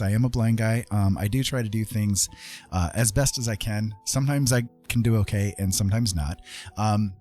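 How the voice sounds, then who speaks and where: A man's voice: on the low side, a little mellow. A man hosting a casual livestream, chatting in a friendly, rambling way as he paints. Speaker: live art streamer and host.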